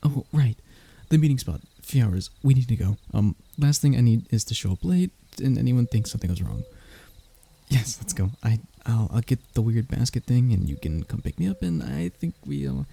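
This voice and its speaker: A man playing a lovesick young royal heir in an intimate romance roleplay, stammering and hesitant, with a husky, breathy voice. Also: mid-range voice, sing-song, normal speed, dark tone, exaggerated voice. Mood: flustered, eager. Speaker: voice actor in audio roleplay.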